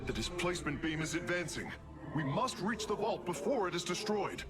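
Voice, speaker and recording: An actor playing an earnest alien technical adviser in a science fiction game, giving precise, formal briefings with urgency. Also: male voice, high voice, sing-song, brisk.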